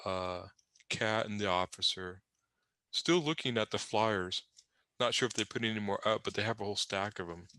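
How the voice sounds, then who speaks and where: Man, bright forceful tone, lowish pitch, humorous improvised narration, conversational asides. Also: normal speed, no room sound. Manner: wry, rambling, conversational asides. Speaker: panel narrator in a group comic reading. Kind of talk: improvised humorous scene description.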